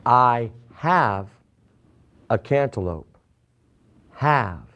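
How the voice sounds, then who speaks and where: An American man in his forties teaching English in a deliberate, enunciated way with simple scripted sentences. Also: medium pitch, lively intonation, a bit bright, muffled recording. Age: 40s. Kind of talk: slow scripted language lesson with simple modelled sentences. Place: small classroom set, indoors.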